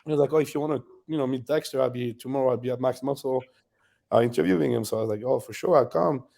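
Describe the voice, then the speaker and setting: A man in his thirties with a French accent, a bodybuilder guest telling stories in a relaxed interview, laughing often. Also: mid-range voice, quick pace, creaky. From France. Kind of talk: casual interview storytelling.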